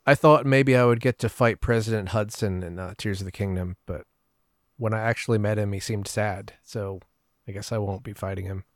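A man's voice: on the low side, fairly even intonation, fairly quick, no echo, studio quality. A man chatting casually as a podcast guest, acting out his own reactions as he tells stories. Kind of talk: casual chat.

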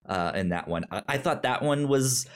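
A man with a frequent stutter, chatting casually and haltingly as co-host of a movie review podcast. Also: mid-range voice, animated, smooth. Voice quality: frequent stutter, repeating sounds and syllables.

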